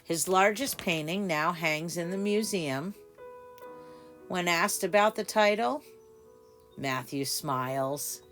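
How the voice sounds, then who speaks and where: Lady reading a kids picture book, slow n deliberate, faint music in the back. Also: on the low side, a bit bright. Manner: deliberate, dramatic phrasing for small children. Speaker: story time reader. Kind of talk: expressive children's storybook narration.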